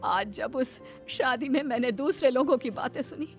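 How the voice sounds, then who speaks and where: A woman's voice: sits high, normal speed, voice pushed up, lightly acted. A woman voicing a middle-aged Indian storybook mother-in-law, speaking in an emphatic, instructive way. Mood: motherly, earnest. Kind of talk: storybook character dialogue. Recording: background music under the voice.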